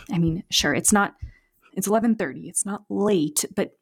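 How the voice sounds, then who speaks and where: Husky tired young woman doing an audio diary, bitter, on edge, halting, breathy, audio drama. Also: mid-range voice. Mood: bitter, frustrated. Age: young adult. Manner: sardonic, weary, halting, sweary, sighing. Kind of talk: found-footage audio drama monologue.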